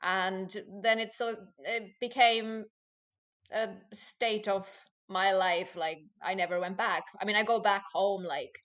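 A woman in her late twenties, a podcast guest talking loudly and with animation in a casual interview, often laughing. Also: mid-range voice, steady tone, takes it slowly. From Finland. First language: Finnish.

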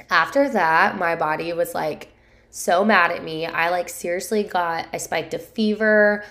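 Woman, 30s, hoarse, casual solo podcast, low voice, rambling storyteller. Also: a bit bright. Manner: rambling, filler-heavy, candid storytelling. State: hoarse, recovering from sore throat. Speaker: podcast host.

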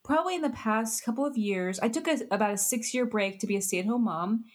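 A woman's voice: fairly high pitch, a little fast. A woman therapist interviewed on a podcast, who talks with dry, self-mocking humor. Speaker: therapist, co-founder. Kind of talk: conversational interview.

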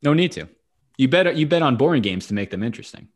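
A man's voice: medium pitch, very animated, fast talker. A man co-hosting a sports podcast, chatting casually in a joking, slangy way.

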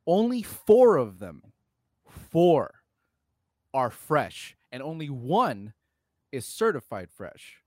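A man's voice: a little high, wildly swooping pitch, takes it slowly, pauses often, darkish tone, no echo, studio quality. A man co-hosting a casual film podcast, talking in an emphatic, exasperated, sweary way. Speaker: podcast co-host. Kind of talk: casual opinionated film discussion.

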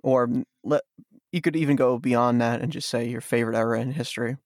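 Gravelly-voiced male podcast host. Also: medium pitch, dry recording, studio quality. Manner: relaxed, asks questions, quick agreeing backchannels. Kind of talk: casual interview chat.

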